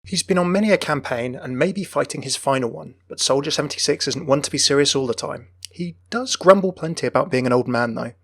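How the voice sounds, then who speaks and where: A man's voice: mid-range voice, quick pace, gravelly, few pauses, a bit bright. A man narrating a scripted video about the lore and backstory of a game character.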